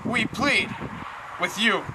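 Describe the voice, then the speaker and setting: A man's voice: sits high, takes it slowly, heavy background noise. A man playing a grave citizen elder who addresses his king formally and earnestly in an amateur Greek tragedy. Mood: grave, pleading.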